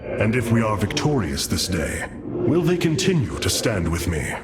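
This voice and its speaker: An actor playing a noble, stern alien commander in a video game cutscene, speaking in a formal, solemn and authoritative manner. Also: male voice, fairly low pitch, sing-song, moderate pace, over music. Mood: solemn, resolute.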